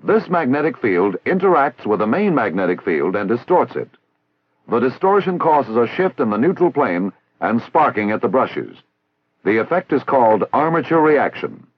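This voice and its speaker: American training film narrator, dull vintage soundtrack. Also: male voice, mid-range voice, very animated, breathy edge, no room sound. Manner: formal, measured, didactic. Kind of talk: scripted instructional narration. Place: recorded voice-over.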